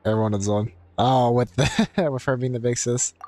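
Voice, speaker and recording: A man's voice: medium pitch, moderate pace. A man giving casual live commentary as he streams a game, musing and a little hesitant. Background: game music.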